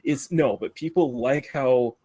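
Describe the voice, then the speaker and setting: Deep-voiced Southern man hosting a live show. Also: unhurried, warm tone. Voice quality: deep, radio-style tone. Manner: rambling, restarts sentences, laughs often. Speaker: livestream host. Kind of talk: casual live show chat.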